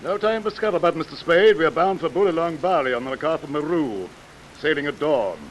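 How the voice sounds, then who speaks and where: An actor playing a pompous, commanding seafaring villain in a 1940s radio detective drama, with salty nautical phrasing. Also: male voice, on the high side, lively intonation, fairly quick, close to an everyday voice, rough recording. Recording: hissy vintage radio recording, rain overlaid.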